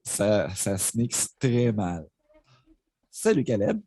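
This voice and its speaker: A relaxed man with a Quebec French accent rambles through a story as a guest on a livestream. His voice is somewhat low, with a mellow, husky grain and a dry close sound. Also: slow pace, no room sound. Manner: laid-back rambling storyteller. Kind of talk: casual livestream chat.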